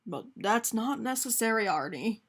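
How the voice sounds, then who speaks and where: A woman's voice: fairly high pitch, animated, moderate pace, husky. A woman voicing a fussy, polite and kindly man in a picture-book story, speaking in a matter-of-fact way. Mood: regretful. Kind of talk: animated picture-book character reading.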